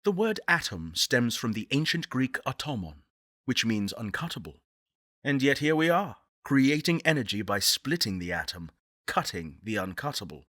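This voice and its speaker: A man playing an earnest, eloquent space-program director in a video game, speaking in measured, deliberate oratory. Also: medium pitch, sing-song, bright, forceful tone, no echo, studio quality. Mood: lofty, reflective. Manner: articulate, deliberate, solemn.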